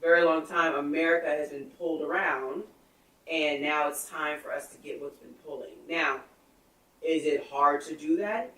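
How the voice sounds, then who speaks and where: A woman's voice: sits low, fairly even intonation, normal speed, a bit bright. A woman in her thirties co-hosting a podcast, explaining her views step by step in casual chat. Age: 30s. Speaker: podcast co-host. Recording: echoey room, far from the mic.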